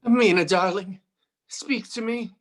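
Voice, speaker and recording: A woman playing a protective, indignant sweetheart in a radio play, forceful and emotional in delivery. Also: mid-range voice, takes it slowly. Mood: anxious, tender.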